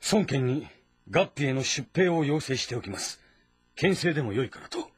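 An actor playing a composed, calculating and loyal strategist in a historical game drama, speaking formally and deliberately. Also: male voice, mid-range voice, sing-song, breathy edge, dry recording. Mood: calm, reporting. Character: composed, calculating, loyal male strategist advising his lord.

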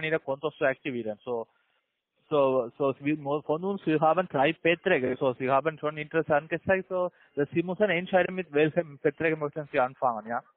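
A man speaks accented, non-native German in a fairly high voice, stumbling and repeating himself as he makes a sales call. The sound is a dry, narrow phone line. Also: dry recording. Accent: foreign, non-native German. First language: not German. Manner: halting, muddled, repeats words. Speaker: call centre agent. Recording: muffled telephone line.

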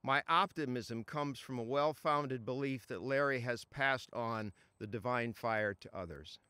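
An older man reads a written tribute outdoors at a stand mic. His voice is bright and smooth, recorded dry and close. He reads in a measured, solemn way. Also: medium pitch, no echo. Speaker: reader of a friend's tribute. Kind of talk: reading a written eulogy aloud. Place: outdoors at a funeral gathering among trees.

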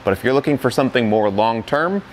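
A man's voice: mid-range voice, lively intonation, quick pace, a little hiss or hum. A young man plainly walking through a product demo as reviewer, candid about its weak points, in an indoor studio bay. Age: young adult. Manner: plain-spoken, candid, walks through details.

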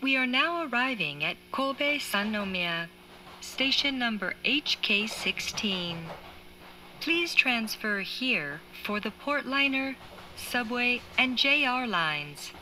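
A woman reading scripted train announcements in a formal, measured manner, as heard inside a carriage. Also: a little high, lively intonation, sharp tone, rough recording. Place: train carriage speaker, train ambience behind. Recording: faint train noise, recorded inside carriage.

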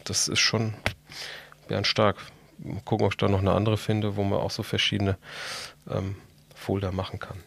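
A man's voice: lowish voice, flat delivery, moderate pace, vocal fry, long pauses, a bit bright, dry recording. A man hosting a podcast in casual chat, talking in a colloquial, blunt, joking way. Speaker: podcast host.

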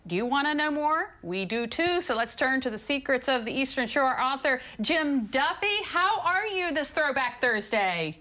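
Bright-voiced woman TV co-host. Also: sits high, animated, moderate pace, few pauses. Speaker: TV show co-host. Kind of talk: scripted TV segment hosting. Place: TV studio set.

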